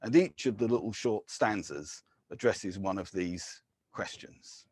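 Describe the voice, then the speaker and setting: Male poet, lowish husky voice, deliberate poetry reading with offhand intros. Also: a little mellow. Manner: measured, deliberate reading with brief casual asides.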